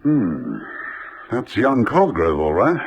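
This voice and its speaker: An actor playing a suave, wry British gentleman investigator in a radio detective drama, urbane and dryly witty. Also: fairly low pitch, huge pitch swings, slow pace, vocal fry, slightly rough, exaggerated voice. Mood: musing. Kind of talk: 1960s-style detective radio drama acting.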